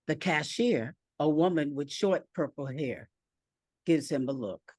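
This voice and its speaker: A woman narrating a screenplay aloud with deliberate, measured phrasing. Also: low voice, animated, a little mellow, dry recording, clean studio sound.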